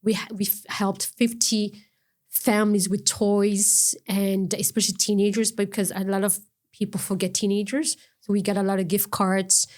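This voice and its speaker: A middle-aged woman speaking earnestly in a relaxed interview. Her voice sits at an ordinary pitch, and her phrases often break off and start again. She has an unhurried, conversational way of talking. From Ontario, Canada. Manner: halting, earnest, many restarts. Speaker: guest, non-profit founder.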